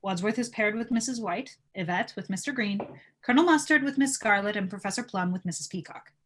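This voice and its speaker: A woman's voice: medium pitch, brisk, fairly bright tone. A woman reading stage directions as scripted narration at a table read.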